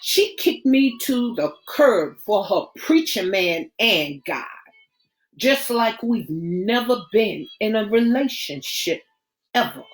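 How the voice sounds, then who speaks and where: A woman voicing a bitter, betrayed young man who speaks in a low, brooding, clipped way. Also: animated, takes it slowly.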